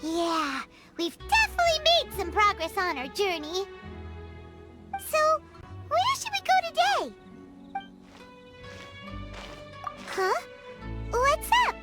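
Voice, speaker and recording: A woman voicing a small, excitable female fairy companion in a game, with a very high, squeaky voice. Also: a little slow, long pauses, heavily acted. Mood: chatty. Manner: excitable, indignant, chatty. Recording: game audio with loud music behind. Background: game music.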